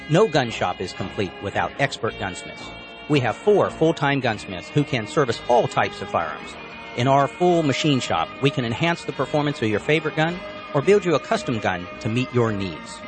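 A man reading a local radio advertisement in a steady, promotional way. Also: medium pitch. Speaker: ad announcer. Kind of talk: scripted radio ad read.

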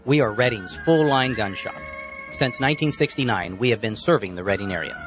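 A man reading a local radio advertisement in a steady, promotional way. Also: mid-range voice, brisk, over music. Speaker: ad announcer. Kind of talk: scripted radio ad read.

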